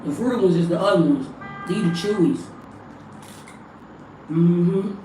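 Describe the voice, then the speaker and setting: A woman with a raspy, hoarse voice, chatting loosely on a livestream as a spiritual reader and calling her viewers family. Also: lowish voice, measured pace, dark tone, slight background noise. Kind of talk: casual livestream chat. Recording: echoey room, mic at a distance.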